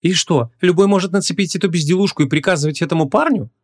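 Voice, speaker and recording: A man voicing a lively, curious young woman in an audiobook, talking in a colloquial, impatient way. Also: sits high, fast, pitched above the performer's own voice. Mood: eager.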